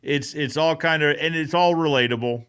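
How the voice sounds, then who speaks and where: Smooth-voiced man chatting as a host. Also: a little high, normal speed, fairly bright tone. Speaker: host of a recap show. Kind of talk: casual live podcast chat.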